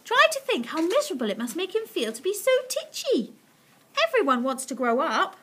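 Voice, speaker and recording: A woman performs a sweet, besotted lady from a children's storybook. The voice is high and swoops widely, with a bright edge. She gushes and exclaims, full of adoring excitement. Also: brisk, slight background noise. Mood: fretful. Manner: gushing, exclamatory, emphatic.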